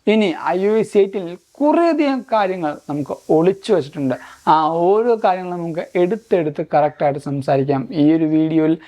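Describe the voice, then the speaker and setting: A man's voice: sits high, animated, a little fast, warm tone. A man presents a gadget walkthrough as a tech reviewer. He explains each feature in an instructional manner, moving through it one step at a time.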